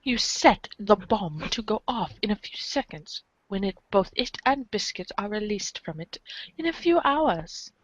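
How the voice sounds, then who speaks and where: A woman reads scripted narration from a comic at a medium pitch. The recording is dry, with a slightly dulled top end. It has the feel of a podcast read-aloud. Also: expressive, measured pace, dry recording, dull audio. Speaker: podcast reader of a webcomic.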